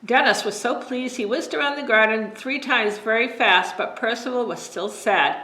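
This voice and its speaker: Gentle woman reading a bedtime story. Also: on the high side, normal speed. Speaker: children's librarian reading stories. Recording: echoey room.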